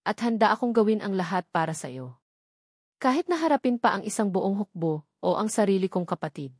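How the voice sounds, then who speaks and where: A man's voice: high-pitched, sing-song, brisk, husky, no echo, studio quality, full character voice. Romantic audio drama husband, played by a female reader: earnest, tender, full of feeling, devoted.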